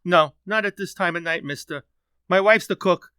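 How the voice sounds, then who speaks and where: A man's voice: high-pitched, normal speed, sharp tone, clean tone, no echo, clean studio sound. A man playing a curt, suspicious, folksy roadside stand keeper in a radio play. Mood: irritated, curt.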